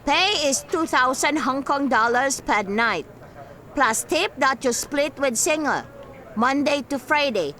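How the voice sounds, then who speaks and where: An actress playing a businesslike Hong Kong bar owner in a radio drama, speaking in clipped, telegraphic phrases. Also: female voice, high-pitched, lively intonation, slow pace, pushed and bright, exaggerated voice.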